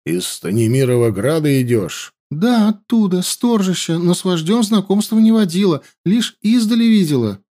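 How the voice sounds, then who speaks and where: Audiobook: male reader doing a sly young woman spy, high and whiny, pitch jumps all over. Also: sing-song, moderate pace, few pauses, mellow. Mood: wailing. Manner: whiny pleading. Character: young woman herbalist spy, cunning, wailing.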